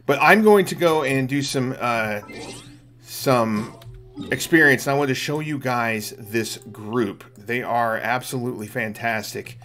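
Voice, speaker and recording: Man, middle-aged, mid-pitched, casual gameplay commentary, explanatory, faint game music. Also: measured pace, fairly bright tone. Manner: explanatory, addressing viewers. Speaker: game streamer and host.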